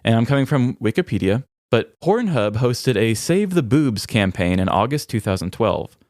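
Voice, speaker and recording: A man's voice: medium pitch, big pitch swings, normal speed, darkish tone, no echo, clean studio sound. A man with an American Midwestern accent chatting in a playful, informal way as a podcast co-host. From rural Midwest United States. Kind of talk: casual comedic podcast chat.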